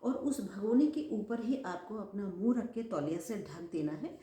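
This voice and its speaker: A woman's voice: medium pitch, animated, normal speed, warm tone, roomy sound. A woman YouTuber giving home remedy tips in a chatty way, repeating phrases for emphasis and addressing viewers as friends. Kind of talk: casual instructional talk to camera.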